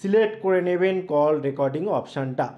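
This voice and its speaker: A man's voice: a little high, lively intonation, a little fast, mellow. A man giving an emphatic, explanatory step-by-step phone tutorial, speaking directly to the viewer. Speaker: tech tutorial YouTube host. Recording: dull, lo-fi voice recording.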